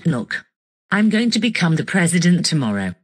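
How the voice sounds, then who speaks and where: A woman playing a pushy little would-be president in a comedy skit, with a husky voice and a blunt way of talking. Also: fairly low pitch, sing-song, moderate pace. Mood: insistent. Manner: blunt, insistent. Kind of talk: scripted comedy skit dialogue.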